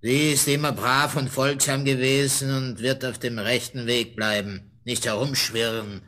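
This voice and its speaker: Forceful grumbling woodcutter. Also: male voice, mid-range voice, fairly even intonation, unhurried. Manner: grumbling, emphatic. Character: grumbling woodcutter, stubborn, confident family father. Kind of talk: radio fairy-tale play acting.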